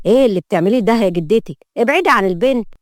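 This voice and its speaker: A woman's voice: on the low side, animated, fairly quick, a little mellow, dry recording, natural voice. A woman performs a wealthy Egyptian man worn down by frustration, for an audio story. He complains wearily and impatiently, in a dry recording. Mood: sharp. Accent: Egyptian Arabic. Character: harried, frustrated wealthy businessman, impatient adult grandson.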